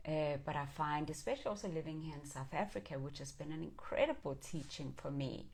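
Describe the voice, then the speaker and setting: A woman's voice: low-pitched, fairly quick, creaky, darkish tone, slightly rough. A middle-aged woman from Denmark hosting a live stream, speaking in an earnest, affirming and reflective way as she talks with viewers. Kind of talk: reflective inspirational talk, reading and answering viewer comments.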